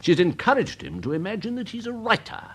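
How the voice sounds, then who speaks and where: An actor playing a pompous, snobbish older Victorian major with an upper-class English accent, fussy and blustering, in a radio drama. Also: male voice, sits high, sing-song, fast talker, slightly rough, exaggerated voice. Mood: vexed, blustering. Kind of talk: British radio drama acting.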